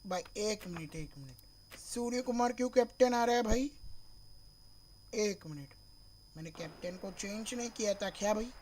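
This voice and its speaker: A man from Mumbai streaming a cricket video game, slightly hoarse from a sore throat, thinking aloud and calling his viewers "bro" in nearly every phrase. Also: high-pitched, lively intonation, moderate pace, pauses often, darkish tone, a little hiss or hum. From Mumbai, India. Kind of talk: live gameplay stream chat.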